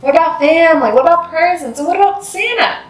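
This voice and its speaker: A woman voicing a kindly, jolly older male janitor from a children's storybook in a warm, reassuring, emphatic way. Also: very high-pitched, expressive, fast talker, voice pushed up. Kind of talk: children's storybook read-aloud. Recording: roomy echo, music behind.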